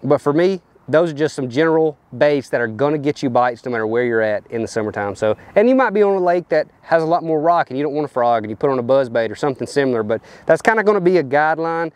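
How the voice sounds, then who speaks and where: A man's voice: on the high side, expressive, fast talker, few pauses, a little mellow, no echo. A man, casually explaining fishing gear outdoors on a lapel mic, loose and rambling with lots of fillers. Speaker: professional bass angler. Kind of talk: casual fishing tips to camera. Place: outdoors on a riverbank and boat deck.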